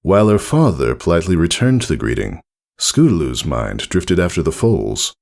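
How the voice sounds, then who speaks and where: A man with a low, gravelly voice narrates a story. He reads in a measured, deliberate manner, with expressive rises and falls that keep the storybook prose moving. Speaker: narrator reading fan fiction.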